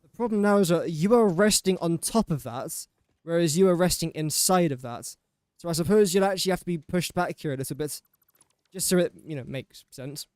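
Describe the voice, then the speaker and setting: A man's voice: on the high side, a little fast, a little mellow, no echo, clean studio sound. A young man from England with an English accent, chatting casually while streaming a building game and talking to the pieces he places in a self-deprecating way. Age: young adult. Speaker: gaming streamer. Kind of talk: casual live gameplay commentary.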